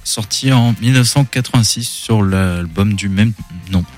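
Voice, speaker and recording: A man in his fifties hosting a radio show, presenting casually and hesitantly with many pauses and fillers. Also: on the low side, faint music behind. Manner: unhurried, hesitant with frequent fillers. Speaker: radio host.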